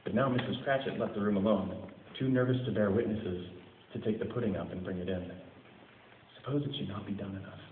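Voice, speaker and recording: A man's voice: fairly low pitch, fairly quick. Theatrical male story reader, tinny echoing hall. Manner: theatrical, phrase-by-phrase delivery. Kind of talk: dramatic storybook reading. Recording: tinny, noisy, echoey hall recording.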